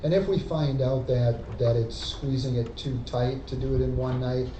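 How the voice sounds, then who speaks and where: A man administrator answers a board question in a measured way. He sits back from the microphone. His voice comes through dull, with clear background noise. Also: medium pitch, fairly even intonation. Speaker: school administrator. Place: meeting room. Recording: distant, dull echoey recording.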